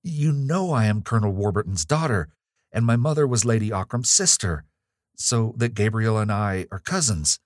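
A man's voice: fairly low pitch, expressive, moderate pace, darkish tone, husky, dry recording, clean studio sound. A man voicing a frightened, pleading young lady. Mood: imploring. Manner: pleading, urgent, near screaming.

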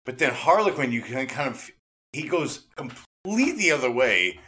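A man with a bright, forceful voice chats as a podcast co-host. He is casual and excitable, with blunt slang and phrases he tends to repeat. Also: mid-range voice, moderate pace, a little hiss or hum. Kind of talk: casual enthusiastic chat.

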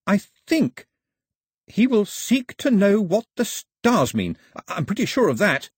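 A man doing a small chatty islander, high and rushing. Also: big pitch swings, slightly rough, dry recording, clean studio sound, full character voice. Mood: eager. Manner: talkative, stammering, rushing.

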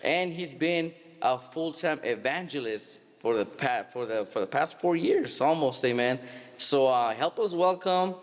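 A man in his thirties hosts a casual church podcast interview, halting and restarting often as he speaks. His voice sits at a middle pitch. The sound carries a long hall reverb. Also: lively intonation. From Stockton, California. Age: 30s. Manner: halting, many restarts and fillers. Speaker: podcast host.

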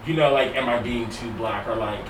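A man's voice: mid-range voice, brisk. A young man leading a casual group discussion, telling stories animatedly and swearing freely. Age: young adult. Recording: echoey room, distant mic, background noise.

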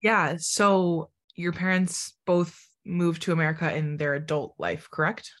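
A young woman hosts a casual podcast and steers the chat in an easygoing way. Her voice is on the low side, and she softens her words with plenty of hedges. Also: steady tone, a little slow. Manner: easygoing, hedging with "kinda" and "I feel like". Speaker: podcast host and interviewer.

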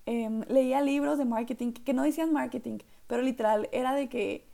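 Young woman w a northern mexican accent, podcast host, kinda gravelly fry and a mellow tone, rambles a lot. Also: sits high, steady tone, a little fast. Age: young adult. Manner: chatty, rambling, lots of fillers like "literal" and "¿saben?". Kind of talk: casual solo podcast monologue.

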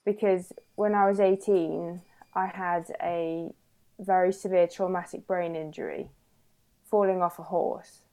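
Woman in her late thirties, podcast guest, low voice, chatty, restarts mid-sentence. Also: flat delivery, unhurried, dark tone, poor audio. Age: 30s. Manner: chatty, hesitant restarts and self-corrections. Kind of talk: casual interview conversation.

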